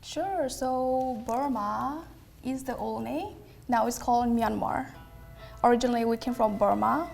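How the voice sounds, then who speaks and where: A woman from Thailand who is a guest on a cooking show, calmly explaining things in plain words. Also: a little high, unhurried, creaky, soft background music. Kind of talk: interview answers.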